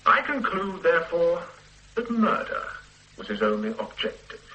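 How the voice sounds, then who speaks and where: An actor playing a pedantic, self-satisfied forensic scientist who lectures the police in a radio drama. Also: male voice, on the high side, very animated, moderate pace, bright, forceful tone, poor audio. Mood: lecturing. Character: pompous middle-aged male forensic scientist. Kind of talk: 1970s radio drama acting.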